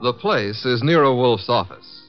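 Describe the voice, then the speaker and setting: A man's voice: mid-range voice, expressive, measured pace. An American man announcing and narrating in the scripted style of 1950s radio. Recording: dull vintage radio broadcast, music behind.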